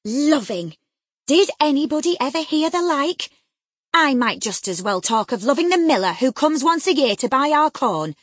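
Stern older housekeeper, scolding and scornful, somewhat husky, read by a woman. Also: medium pitch, lively intonation, unhurried, no echo, clean studio sound.

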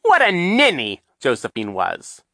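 A man's voice: mid-range voice, wildly swooping pitch, measured pace, pushed and bright, dry recording, studio quality, pitched above the performer's own voice, exaggerated voice. A man voicing a scheming, boastful villainous count with a theatrical, sneering delivery. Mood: gloating. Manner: theatrical, whiny false joy then gloating growl.

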